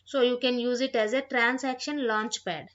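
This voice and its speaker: A woman giving an instructional software tutorial, reading out points and repeating phrases as she explains them, on a dull, lo-fi microphone. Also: fairly high pitch, steady tone, moderate pace. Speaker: software trainer.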